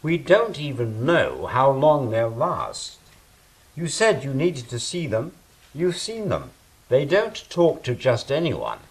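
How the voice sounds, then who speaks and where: A man playing an anxious, defensive angel who guards Eden in an audio drama, fretful and deliberate. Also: medium pitch, huge pitch swings, slightly rough, exaggerated voice, low-quality recording. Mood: guarded. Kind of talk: stage-style audio drama acting.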